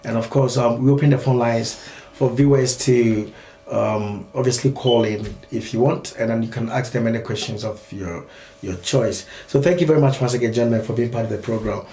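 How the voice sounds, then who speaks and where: Man, talk show host, friendly, frequent ums, mid pitch, roomy echo. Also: brisk, dark tone. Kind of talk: hosting a live phone-in talk show.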